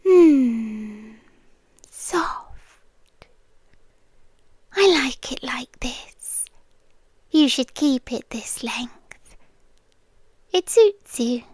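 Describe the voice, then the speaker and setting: Woman acting a high, whispery British yandere girlfriend. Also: expressive, slightly rough, dry recording, heavily acted. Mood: doting, possessive. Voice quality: soft, often slipping into whispers. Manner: slow, deliberate, lingering pauses. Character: possessive, devoted yandere girlfriend, sweetly controlling. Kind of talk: intimate ASMR girlfriend roleplay. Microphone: close microphone, dry sound.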